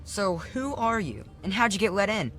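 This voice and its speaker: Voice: mid-range voice, very animated, normal speed, fairly bright tone, soft background music. A performer playing a cocky, chatty child trader in a video game, brash, slangy and casual. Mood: brash, curious. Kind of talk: video game character acting.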